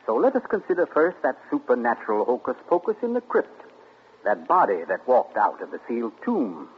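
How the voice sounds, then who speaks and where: A gloating radio-play sleuth, 1940s. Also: male voice, mid-range voice, wildly swooping pitch, phone-quality sound. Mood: smug. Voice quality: theatrical, deliberate, relishing. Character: suave, gloating male amateur sleuth. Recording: scratchy 1940s radio broadcast.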